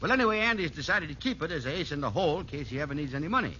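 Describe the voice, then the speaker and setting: Sharp, high-voiced gossipy radio sidekick. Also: male voice, expressive, racing. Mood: chatty. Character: chatty, wry male friend, gossipy sidekick. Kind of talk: 1940s radio sitcom acting. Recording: dull, noisy old radio recording.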